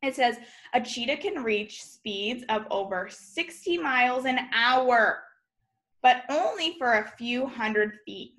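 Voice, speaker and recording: A young woman reads aloud to children like an elementary teacher. Her voice is fairly high and very bright, and she takes her time with each phrase. She is expressive, slipping informal asides and simple explanations in between the lines of the book. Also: slow. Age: young adult. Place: room at home, indoors.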